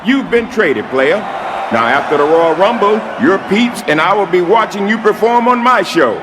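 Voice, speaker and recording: Casting: swaggering game show boss, animated high voice, needling, crowd roaring behind. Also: male voice, moderate pace. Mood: triumphant announcing. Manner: swaggering, proclamatory. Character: showy, needling male wrestling show boss. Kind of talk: scripted wrestling game cutscene acting. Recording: loud crowd and arena noise.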